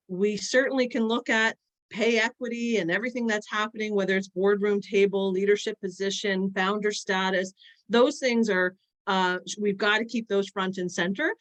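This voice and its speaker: A woman speaking as a panelist in an online discussion, earnest and halting, with frequent fillers and restarts. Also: medium pitch, a bit bright, rough recording.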